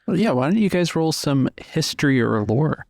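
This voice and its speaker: A man's voice: on the high side, lively intonation, moderate pace, a little mellow, no echo, clean studio sound. A man narrating a tabletop roleplaying game as its game master.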